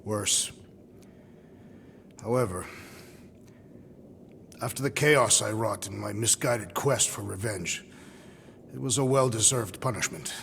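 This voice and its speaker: An actor playing a solemn, proud alien warrior in a video game cutscene, speaking formally and gravely. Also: male voice, lowish voice, slow pace, long pauses, a bit bright, long reverb tail, a little hiss or hum, heavily acted. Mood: grim. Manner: formal, grave, literal-minded diction.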